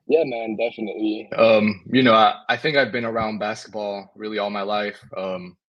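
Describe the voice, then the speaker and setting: A young man from Spain talks as a guest on a podcast, relaxed and easygoing. His voice sits a little low, and he pads his thoughts with casual fillers. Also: normal speed, lo-fi sound. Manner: relaxed, lots of "you know" fillers. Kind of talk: casual interview.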